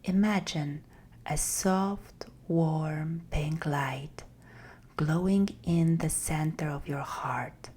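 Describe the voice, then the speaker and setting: A woman guiding a meditation in a soft, breathy, half-whispered voice, gentle and soothing with long pauses. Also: low-pitched. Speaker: meditation guide. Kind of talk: guided meditation, slow scripted narration.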